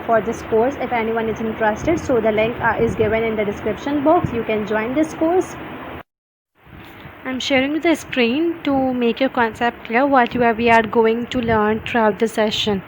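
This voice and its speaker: Woman, Pakistani accent, English teacher, earnest, fairly high voice, steady background noise. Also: normal speed. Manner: earnest, many fillers, list-like delivery. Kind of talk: course introduction.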